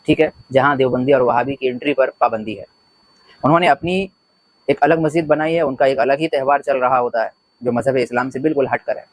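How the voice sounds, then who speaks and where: A man's voice: medium pitch, no echo, rough recording. A man giving religious commentary in an earnest, preachy way, building points through repeated lists. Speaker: religious commentator, video host. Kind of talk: spoken religious commentary to camera.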